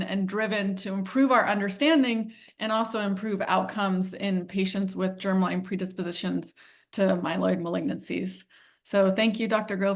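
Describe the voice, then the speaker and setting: A woman hosting for a nonprofit, welcoming a webinar audience with measured remarks, pausing with fillers and correcting herself. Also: medium pitch. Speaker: nonprofit host introducing webinar.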